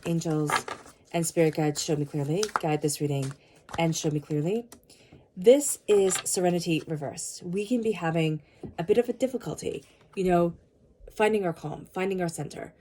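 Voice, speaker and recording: A woman with a cold giving a tarot reading, speaking earnestly and slowly repeating her invocations. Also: fairly low pitch, warm tone. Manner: soothing guided meditation. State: has a cold. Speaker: tarot reader.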